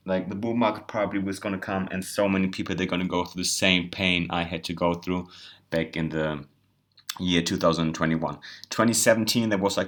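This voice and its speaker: A man's voice: sits low, a bit bright. A man from Germany with a German accent, a native German speaker, giving a rambling, earnest casual monologue as a crypto vlogger. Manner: rambling, earnest, repeats words and says "you know".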